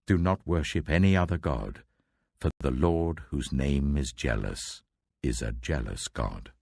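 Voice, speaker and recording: Man acting as stern male deity, bottomless low pitch, husky, solemn and commanding, deliberate delivery, dry sound, scripture audiobook. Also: darkish tone, dry recording, full character voice. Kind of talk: dramatised scripture audiobook reading.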